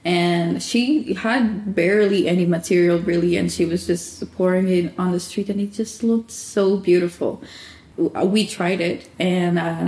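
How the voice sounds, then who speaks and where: Chatty woman from Angola, mellow voice. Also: medium pitch, roomy sound. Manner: warm laughing, chatty with self-quoting. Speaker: candle and soap shop owner. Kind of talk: casual interview answers.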